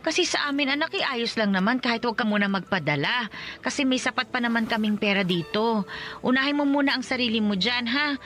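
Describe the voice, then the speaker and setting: A woman's voice: a little high, a little fast, fairly bright tone, a little hiss or hum, close to an everyday voice. A woman playing an older, loving rural Filipino mother in a radio drama, fussing and chatty in a Filipino accent. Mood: concerned, tender.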